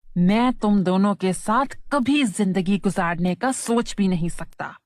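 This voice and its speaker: A woman acts out a self-centred young man in a cartoon moral tale. The delivery is slow and blunt at a middling pitch, with a stubborn, dismissive streak. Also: darkish tone, no room sound, lightly acted. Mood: irritated. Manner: blunt, deliberate. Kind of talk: children's moral story cartoon.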